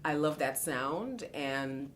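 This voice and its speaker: A woman from Haiti talks as a musician guest in a conversational interview, with a notably deep voice for a woman. She is animated and expressive, sprinkling in "you know" and slipping into other people's voices as she tells stories. A faint low rumble sits under the recording. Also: takes it slowly.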